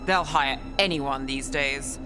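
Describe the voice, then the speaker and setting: A woman playing a haughty, sharp-tongued human mage in a fantasy game, cool and imperious. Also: extremely low pitch, slow, music in the background. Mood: imperious, scornful.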